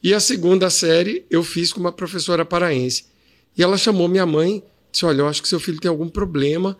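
A man in his fifties with a Pará Portuguese accent, a podcast guest telling animated stories about his childhood. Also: high-pitched, a little fast. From Brazil. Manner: storytelling, animated anecdotes. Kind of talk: casual interview chat.

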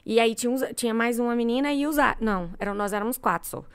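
A woman from Brazil in her twenties, telling her stories in a lively, animated way as an interview guest. Her voice sits a bit on the high side, and she slips into the voices of the people she quotes. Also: fast talker, clear, resonant tone. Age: 20s. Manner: animated, acts out quoted dialogue. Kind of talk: casual storytelling chat.

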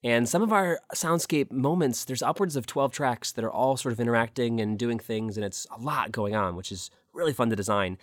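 A man's voice: mid-range voice, a little fast, hardly any pauses, dry recording, studio quality. A man speaking enthusiastically and effusively as a guest in a casual interview. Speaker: audio drama creator, interview guest.

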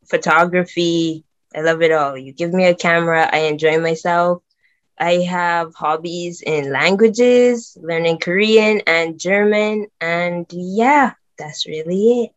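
A young woman from Barbados, chatting excitedly as a guest on a video call. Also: on the low side, lo-fi sound. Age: young adult. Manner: bubbly, excitable, laughs often. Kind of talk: casual video-call chat.

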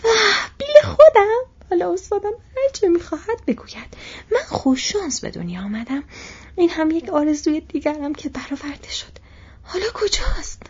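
A woman voicing an eager, loyal young male hobbit servant who exclaims excitedly, in a dull lo-fi recording. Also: high-pitched, slightly rough, pitched above the performer's own voice. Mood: overjoyed.

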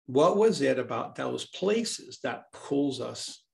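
Man from Hawaii, gravelly voice, middle-aged, healer being interviewed, earnest searching manner. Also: medium pitch, expressive, takes it slowly. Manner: earnest, searching, repeats words while thinking. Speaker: guest, native Hawaiian healer. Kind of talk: reflective conversational interview.

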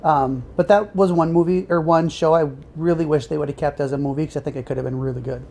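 A woman's voice: low-pitched, steady tone, quick pace, a little mellow. A woman co-hosting a podcast in a casual, chatty style. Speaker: podcast co-host. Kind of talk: casual chat about superhero movies.

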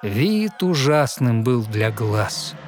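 A man narrating a fairy tale in verse, dramatic and measured, pausing expressively between short phrases. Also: medium pitch, sing-song, a little hiss or hum. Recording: studio narration over music. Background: music.

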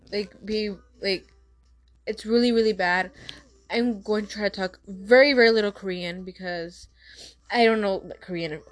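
A young woman hosting a casual solo podcast, talking fast with frequent stutters and restarts. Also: medium pitch. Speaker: podcast host.

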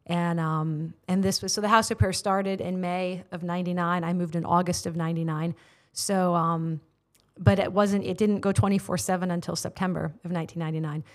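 A woman's voice: fairly low pitch, steady tone, fairly quick. An American woman in her forties, a guest on a podcast, telling her faith story earnestly with many filler words. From United States. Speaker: guest, prayer ministry leader. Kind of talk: personal testimony in interview. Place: studio.

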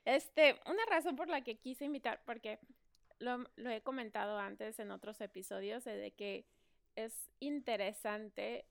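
Chatty podcast host: woman, high and smooth voice. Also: unhurried. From Mexico. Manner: chatty, laughs often. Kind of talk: casual interview chat.

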